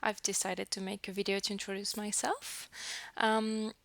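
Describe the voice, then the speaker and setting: A young woman from New Caledonia talks to her camera in a casual, rambling way, her colouring her speech. Her voice has a gravelly edge, picked up close on a headset mic in a dry, dead room. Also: medium pitch, flat delivery, moderate pace, fairly bright tone, dry recording. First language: French. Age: 20s. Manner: chatty, frequent fillers and restarts. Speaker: vlogger. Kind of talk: casual self-introduction to camera.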